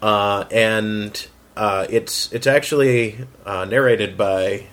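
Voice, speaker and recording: Halting man in casual chat. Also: fairly low pitch, steady tone, fairly bright tone. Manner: halting, filler-heavy, searching for words. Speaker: writer and voice actor on a round-table.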